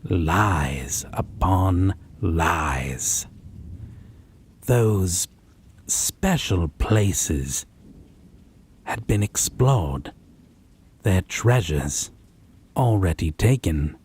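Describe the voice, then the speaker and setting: A man playing a possessive, condescending vampire lover in a roleplay audio drama, haughty and deliberate with a menacing, husky delivery. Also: deep voice, animated, a little mellow, full character voice. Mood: bitter, accusing. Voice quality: breathy, husky.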